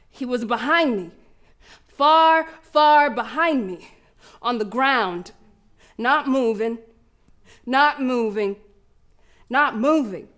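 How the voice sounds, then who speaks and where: A woman's voice: high-pitched, fairly bright tone, exaggerated voice. A woman playing a proud young schoolgirl runner in an audio drama monologue, telling her story in colloquial, emphatic speech with dramatic repetition. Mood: shocked, breathless. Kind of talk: dramatic first-person monologue, audio play.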